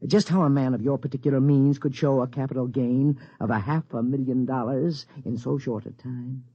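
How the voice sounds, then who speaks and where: Federal taxman: formal, officious, measured, in a roomy, dull vintage radio drama recording. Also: medium pitch, fairly even intonation, mellow, slightly rough. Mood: pointed, curious. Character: formal, officious male federal tax agent. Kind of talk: mid-century radio drama acting.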